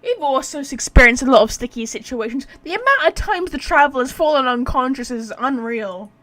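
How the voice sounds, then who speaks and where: A woman's voice: high voice, expressive, fairly quick, a bit bright. A woman doing casual let's-play commentary as a gaming streamer, blunt, sweary and joking.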